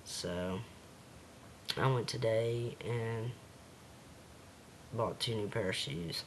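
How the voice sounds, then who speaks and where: A man with a slightly raspy voice talking hesitantly in a casual home video diary, with many pauses and fillers. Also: on the low side, slight background noise.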